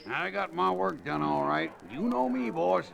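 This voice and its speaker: A quarrelsome American cowboy henchman in a radio Western. The voice is highish and heavily creaky, full of folksy slang. An old broadcast recording with a crowd behind. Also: lively intonation, a little slow, highs cut off, heavily acted. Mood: servile, folksy. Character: crude, quarrelsome hired gunslinger henchman.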